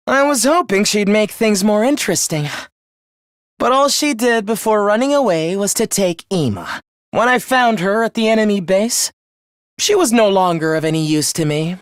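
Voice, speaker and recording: A man's voice: high voice, dry recording, clean studio sound. A man playing a contemptuous, human-hating young villain in a video game, theatrical and scheming in his delivery. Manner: theatrical, contemptuous, swinging from hushed menace to shrieking. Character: young male traitor, genocidal, gloating, human-hating schemer. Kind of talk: video game voice acting, villain confession monologue.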